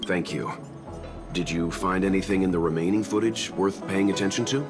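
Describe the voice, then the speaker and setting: A man voices a composed young skeptic in a video game, his voice sitting low. He speaks in a level, matter-of-fact way with dry humor. Background music runs under the scene. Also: a little fast, close to an everyday voice. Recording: game cutscene with music behind.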